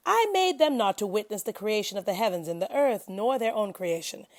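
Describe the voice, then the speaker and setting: A woman giving an expressive, solemn and dramatic reading of translated scripture, with emphatic phrasing. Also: medium pitch, gravelly. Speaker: scripture reader.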